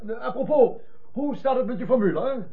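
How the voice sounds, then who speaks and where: An actor playing a blustering, impatient count in a radio play, theatrical, with exclamations and hemming. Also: male voice, very high-pitched, animated, moderate pace, husky, heavily acted. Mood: jovial, exclaiming. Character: blustering, impatient count, jovial master of the castle. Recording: dull lo-fi old radio recording.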